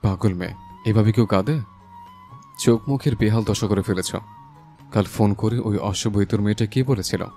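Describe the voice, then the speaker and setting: A man's voice: fairly low pitch, normal speed, long pauses, mellow, close to an everyday voice. A man playing a passionate, protective young husband in a dramatised romantic audio story, speaking with emphatic feeling. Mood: tender, consoling. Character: hot-tempered young husband, fiercely protective, tender with his wife. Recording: voice over a music bed.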